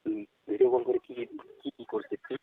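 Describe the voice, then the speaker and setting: A man with a Bengali accent questioning someone confrontationally over the phone in short, repeated questions. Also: fairly quick. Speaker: phone caller posing as a girl's uncle. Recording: tinny phone-line sound, dry.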